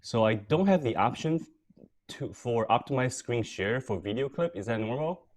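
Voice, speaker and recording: A young man who grew up between Taiwan and the United States, speaking as a guest presenter on a video call in a relaxed, filler-heavy storytelling way. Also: mid-range voice, moderate pace.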